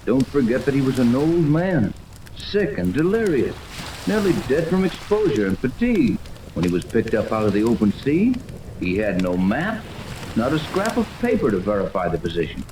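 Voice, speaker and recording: An actor playing a skeptical, take-charge yacht captain in a 1930s radio serial, blunt and commanding. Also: male voice, medium pitch, very animated, normal speed, lightly acted. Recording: noisy old 1930s radio recording.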